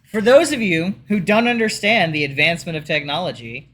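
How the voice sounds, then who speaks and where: A man's voice: brisk, a bit bright. A man co-hosting a podcast, telling stories in a rambling, casual way with many fillers. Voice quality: deep voice like his father's. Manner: rambling, chatty storytelling with fillers. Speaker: podcast co-host. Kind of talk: casual chat.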